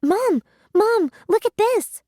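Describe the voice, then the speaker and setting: An excitable, affectionate young girl from a storybook, played by a woman reader. Her voice is high and sweet, with earnest, unhurried delivery. It sounds close and dry. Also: no room sound, exaggerated voice. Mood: urgent. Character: eager, affectionate young girl with a pet slime. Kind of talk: children's audiobook read-aloud.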